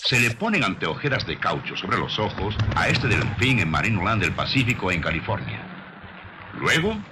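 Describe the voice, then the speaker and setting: A man with a fairly low voice narrates in the manner of a scripted nature documentary. His delivery is didactic, and his pitch moves in lively swings as he explains. Clear noise sits behind the voice in the recording. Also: moderate pace. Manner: didactic, rhetorical questions to the listener.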